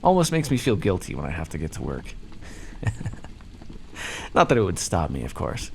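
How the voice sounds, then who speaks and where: Man playing a smug, deep-voiced male vampire captor. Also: huge pitch swings, unhurried, gravelly, a little mellow, a little hiss or hum, exaggerated voice. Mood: coolly businesslike. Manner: condescending, theatrical, teasing drawl with pet names. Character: smug, playfully menacing male vampire captor-scientist, politely condescending. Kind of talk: ASMR roleplay monologue addressed to the listener.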